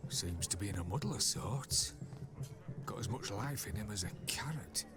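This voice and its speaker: A man's voice: lowish voice, lively intonation, normal speed, over loud music, lightly acted. An actor playing a dry, folksy male wedding guest in a video game.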